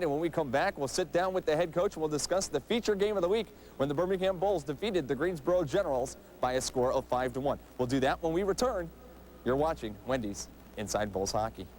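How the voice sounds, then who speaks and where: A man's voice: mid-range voice, background noise. A man presenting a hockey show in a polished announcer delivery, speaking to viewers and interviewing. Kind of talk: upbeat scripted TV presenting and interviewing.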